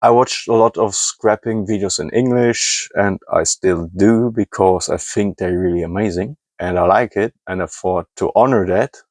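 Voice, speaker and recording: A low-voiced man with a German accent talks through a casual hobby vlog in English, his second language. He rambles, hunts for words and corrects himself as he goes. The sound is dry and close, with little room echo. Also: normal speed, hardly any pauses, darkish tone. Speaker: scrapping hobbyist.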